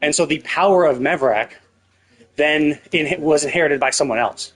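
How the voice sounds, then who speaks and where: Husky-edged man musing over a game. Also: on the high side, normal speed, fairly bright tone. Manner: speculative, rambling, questioning. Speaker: live streamer. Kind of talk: casual game commentary, thinking aloud.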